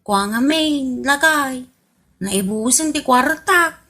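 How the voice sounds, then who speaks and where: A woman's voice: high voice, expressive, takes it slowly, pushed and bright, heavily acted. A woman voicing a wife in debt who pleads with her husband in a whiny tone. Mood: pleading. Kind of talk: comedy radio drama acting.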